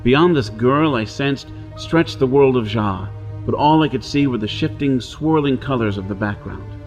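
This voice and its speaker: An actor playing a wary, brusque hunted outlaw in a pulp radio drama, speaking and narrating in a dramatic, brooding manner. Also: male voice, medium pitch, normal speed, lightly acted. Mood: brooding, reflective. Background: music.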